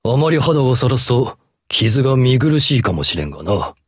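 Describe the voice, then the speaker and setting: Brooding male game hero: rough-voiced, tender, solemn, very dry studio sound. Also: medium pitch, sing-song, normal speed, dry recording. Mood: pensive. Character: gentle, brooding scarred masked male hero. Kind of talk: Japanese mobile game character acting.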